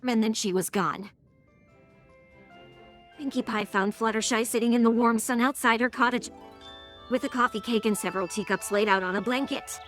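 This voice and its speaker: A woman's voice: fairly high pitch, normal speed, long pauses, a bit bright, faint music behind. A woman giving a scripted, storybook-style narration as the narrator of a tale.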